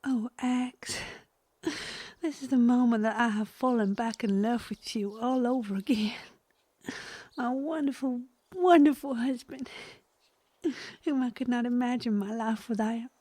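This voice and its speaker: A woman playing a flirty frontier wife and mother in a comic western audio drama, given to breathy asides and sighs. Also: on the high side, a little slow, mellow, dry recording. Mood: gushing, loving. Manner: breathy asides, sighing, gushing.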